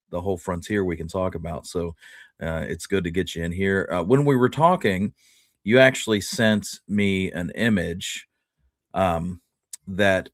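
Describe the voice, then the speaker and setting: A man hosting a podcast, chatting casually and pausing often mid-sentence. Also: low-pitched, dry recording, clean studio sound. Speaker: host.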